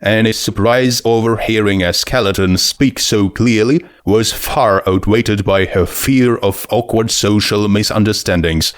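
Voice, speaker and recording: A man's voice: on the low side, measured pace, few pauses, smooth, no room sound. A man reading a scripted story aloud as narrator. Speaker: narrator of a fan fiction reading.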